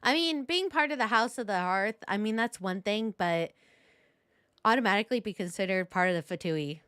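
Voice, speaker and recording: A woman's voice: mid-range voice, lively intonation, moderate pace, a bit bright, clean tone, dry recording. A woman game streamer giving chatty, informal live reactions into a close microphone. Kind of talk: casual live reaction commentary.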